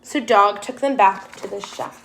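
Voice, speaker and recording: A woman's voice: mid-range voice, moderate pace, creaky, fairly bright tone, a little hiss or hum. A woman teacher reading a children's storybook aloud expressively, putting questions to her young listeners.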